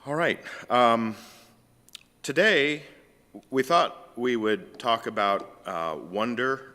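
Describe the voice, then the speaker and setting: A man co-hosting a science discussion in a church hall, his voice scratchy and hoarse after an illness, talking in a rambling way with many fillers. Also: medium pitch, takes it slowly, sharp tone. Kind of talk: casual hosted discussion. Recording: long reverb in a church hall.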